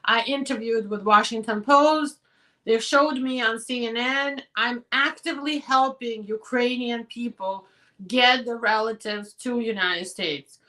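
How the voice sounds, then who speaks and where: Hoarse bright-voiced woman attorney. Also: on the high side, recorded from a distance. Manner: direct and advising, with quick practical answers. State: hoarse, losing her voice. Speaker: immigration attorney hosting a live show. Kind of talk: live Q&A, reading out viewer questions and answering.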